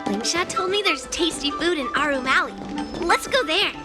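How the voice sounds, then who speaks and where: A woman's voice: high-pitched, animated, normal speed. A woman playing a cheerful, confident young swordswoman in video game story dialogue, speaking in a frank, friendly, breezy manner over music. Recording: background music behind the voice.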